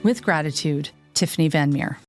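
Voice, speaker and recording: A woman's voice: deep voice, moderate pace, no room sound. Middle-aged woman over music. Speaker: charity appeal speaker. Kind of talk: scripted testimonial ad.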